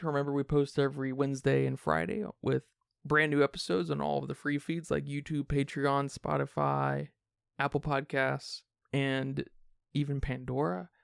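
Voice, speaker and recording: A man's voice: mid-range voice, vocal fry, clean tone, no room sound, studio quality. A man hosting a film review podcast in a casual, conversational way. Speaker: podcast host.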